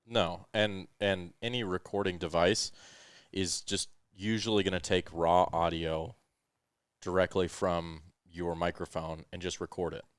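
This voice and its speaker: Man, very low voice, smooth and resonant, casual rambling tech talk, headset mic. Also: a little slow, no echo, clean studio sound. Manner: relaxed, rambling explanations with frequent ums and uhs. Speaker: podcast host. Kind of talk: casual explanatory tech chat. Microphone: headset microphone with headphones.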